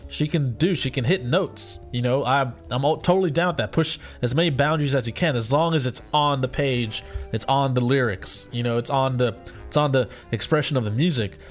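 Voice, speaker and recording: A man co-hosting a casual chat show with a raspy voice, talking bluntly and swearing freely. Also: mid-range voice, fairly quick, faint music behind. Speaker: co-host.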